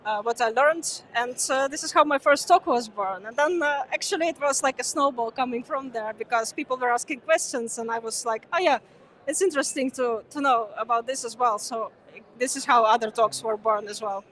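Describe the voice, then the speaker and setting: A woman's voice: a little high, fairly quick, a bit bright, poor audio. A young woman whose first language is Ukrainian, talking casually and hesitantly in a conference interview, with many fillers. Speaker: conference guest, former speaker. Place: busy conference venue.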